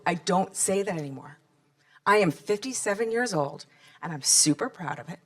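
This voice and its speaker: A woman's voice: medium pitch, fairly bright tone. Candid chatty woman in her fifties. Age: 50s. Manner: candid, chatty, rallying the audience with questions. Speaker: nonprofit founder closing a panel event. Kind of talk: closing remarks to a live audience.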